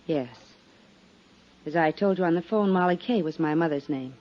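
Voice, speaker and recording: A woman's voice: sits low, moderate pace, close to an everyday voice. A woman playing a polite, guarded young captain's daughter in 1950s radio drama style. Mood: reserved, polite. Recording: dull 1950s radio recording.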